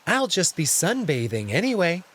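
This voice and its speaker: A man's voice: high voice, huge pitch swings, normal speed, fairly bright tone, slightly rough, pitched above the performer's own voice, heavily acted. A man voicing a meek, bashful male cat servant who speaks politely, hesitantly and with deference.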